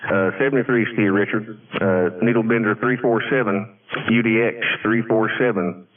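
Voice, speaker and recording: A man's voice: fairly low pitch, steady tone, moderate pace, narrow, tinny audio. A man chatting casually over two-way radio in folksy, courteous radio slang. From Tennessee, United States. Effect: two-way radio transmission. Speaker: CB radio operator. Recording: thin, distant CB radio signal.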